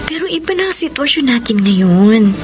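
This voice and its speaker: A woman's voice: fairly high pitch, very animated, moderate pace. An actress playing a guarded, loyal young woman torn in love, in an earnest Filipino radio drama style. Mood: torn, regretful. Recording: music under the voice.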